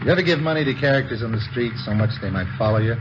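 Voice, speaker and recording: An actor playing a skeptical police interrogator in a radio crime drama, putting terse, dry, probing questions. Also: male voice, medium pitch, animated, very fast, natural voice. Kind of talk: 1950s radio drama acting. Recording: noisy, dull old radio recording.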